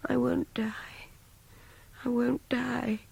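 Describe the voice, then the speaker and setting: An actress playing a desperate, gravely ill young captive in a radio drama, weak and close to tears, with a breathy, cracked whisper. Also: female voice, a little high, flat delivery, a little mellow, exaggerated voice. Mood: desperate pleading. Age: young adult. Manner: very slow, fragmented, pleading. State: weak, distressed, near tears.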